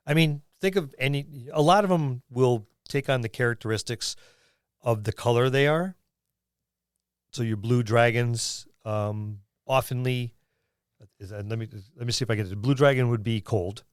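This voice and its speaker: Guy on a gaming podcast, mid pitch, rambles a bit and stops to think, super dry recording. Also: expressive, moderate pace, no room sound. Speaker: podcast co-host. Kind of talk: casual chat about tabletop gaming.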